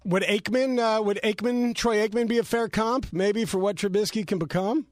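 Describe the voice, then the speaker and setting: A man's voice: high-pitched, steady tone, moderate pace, no room sound, studio quality. A man co-hosting a sports radio show, talking casually.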